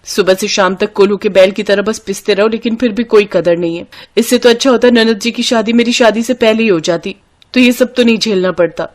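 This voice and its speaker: A woman's voice: mid-range voice, a little fast, close to an everyday voice. A woman doing a tired, exasperated young wife. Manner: complaining, exasperated.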